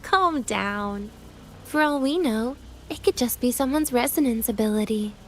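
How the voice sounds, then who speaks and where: A woman voicing an aloof young female student with dry, deadpan humor in a video game. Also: a little high, a little slow, a little hiss or hum. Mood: dry, resigned. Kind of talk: English video game voice acting.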